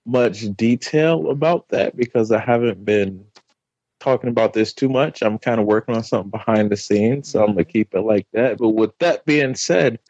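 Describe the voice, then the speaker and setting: A man talking as a podcast guest, relaxed, explaining things plainly in casual chat. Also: lowish voice, no room sound, lo-fi sound.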